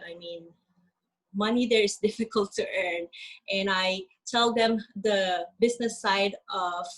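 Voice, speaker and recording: A woman speaks earnestly over a video call, her voice at an everyday middle pitch. She pauses often with filler sounds as she explains her experience. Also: rough recording. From Philippines. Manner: earnest, halting with many ums. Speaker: guest speaker. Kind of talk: webinar presentation.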